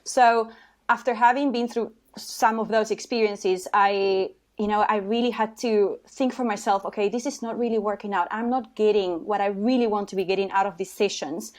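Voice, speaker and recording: Woman, Uruguayan Spanish accent, mid pitch, reflective conversational podcast guest. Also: fairly even intonation, moderate pace. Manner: reflective, conversational, with fillers and restarts. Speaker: guest, HR consultant. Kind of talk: podcast interview.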